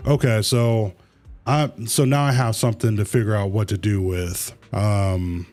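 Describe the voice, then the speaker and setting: A man chatting casually to viewers as a livestreamer, halting and rambling, with easygoing slang. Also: lowish voice, fairly even intonation, a little mellow. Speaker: live streamer, host of own channel. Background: music.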